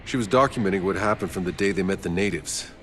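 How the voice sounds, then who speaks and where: An actor playing a gruff soldier exploring an alien world in a video game cutscene. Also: male voice, low-pitched, fast, fairly bright tone, a little hiss or hum. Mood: grave, intent. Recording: dramatic music behind the voice.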